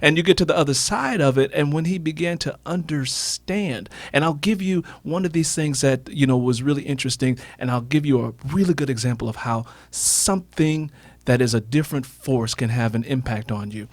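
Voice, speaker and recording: A man's voice: mid-range voice, fairly quick, no echo. A man telling reflective personal stories as a radio guest, emphatic and repeating phrases for effect. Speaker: interview guest, author.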